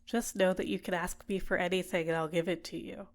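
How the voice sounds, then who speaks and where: A woman playing a tenderly possessive, manipulative villain captor in an intimate ASMR roleplay, speaking in a soft, soothing, deliberate way. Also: lowish voice, level tone, lightly acted. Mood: warm, coaxing.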